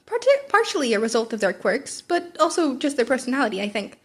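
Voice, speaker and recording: A woman's voice: high voice, big pitch swings, fast talker. A woman voicing a gleefully teasing male talking-animal principal in a polite, airy, coy manner for a story reading.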